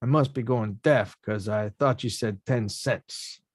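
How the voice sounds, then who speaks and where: A man reading the part of a rough-talking young male boxer at a script table read, slangy and self-mocking. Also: medium pitch, a little slow, no echo, studio quality, close to an everyday voice.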